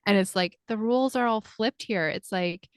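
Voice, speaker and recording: A woman's voice: mid-range voice, normal speed, clean tone, dry recording, studio quality. A woman hosting a podcast, chatting in a thoughtful, agreeable way with plenty of casual fillers. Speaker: podcast host, life coach. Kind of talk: casual conversation.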